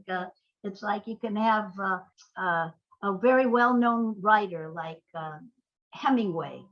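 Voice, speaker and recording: An older woman reminiscing in a conversational interview, wordy and halting as she corrects herself. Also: mid-range voice. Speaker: interview guest, playwright and screenwriter. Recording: dull, lo-fi audio.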